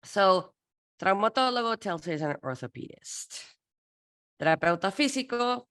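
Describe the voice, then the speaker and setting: A woman from Peru presenting a workshop for interpreters, lively and playful, acting out little dialogues as she teaches. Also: mid-range voice, moderate pace.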